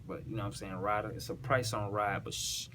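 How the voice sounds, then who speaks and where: Low-voiced Southern man over background noise. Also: steady tone, brisk, bright, forceful tone. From South Carolina, US. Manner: slangy, repeatedly checking the listener follows. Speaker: battle rapper breaking down their own bars. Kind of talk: casual explanatory chat with recited rap bars.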